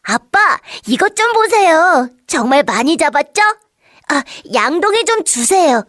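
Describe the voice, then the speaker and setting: A woman voicing a cheerful, curious little boy in a children's storybook, in an excitable childlike manner. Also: sits high, unhurried, no echo, studio quality, heavily acted. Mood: excited. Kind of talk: children's storybook character acting.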